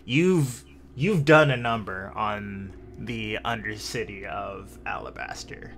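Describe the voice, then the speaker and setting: A man narrating a tabletop roleplaying game as game master, improvising dramatically with deliberate pauses. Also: fairly low pitch, vocal fry, a bit bright.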